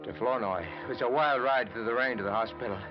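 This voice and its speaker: A man acting a desperate, scheming killer in a vintage American radio noir. His mid-pitched voice broods in short, hard-boiled phrases. The recording is old and muffled, with clear music underneath. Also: fast, natural voice. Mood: brooding, tense. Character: desperate, scheming guilty man narrating his own crime.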